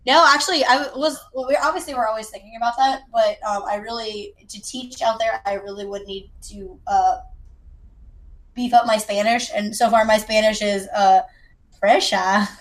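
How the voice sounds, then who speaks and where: A woman's voice: fairly high pitch, steady tone, moderate pace, rough recording. A woman from South Carolina with an American accent, chatting casually in a playful, self-deprecating, joking way. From South Carolina, United States. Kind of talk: casual chat.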